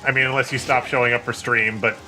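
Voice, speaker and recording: A man streaming a video game, rambling, swearing and joking at himself while his voice turns hoarse and tired. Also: medium pitch, level tone, fast talker, low-quality recording. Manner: rambling, swearing, self-mocking. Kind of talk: casual gameplay commentary. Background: game music.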